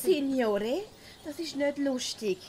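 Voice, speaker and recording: A firm, caring young lady acted by a woman in a comic audio play. Her voice is very high, polite yet scolding, with crowd sounds behind. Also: expressive, slow. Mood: admonishing. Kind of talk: comedic audio play acting.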